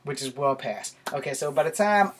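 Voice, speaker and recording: A man's voice: on the high side, lively intonation, moderate pace. An American man in his forties giving a rambling, casual vlog talk to camera in a small bedroom. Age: 40s. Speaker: YouTube vlogger. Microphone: camera a few feet away. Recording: noisy home camera recording with clicks.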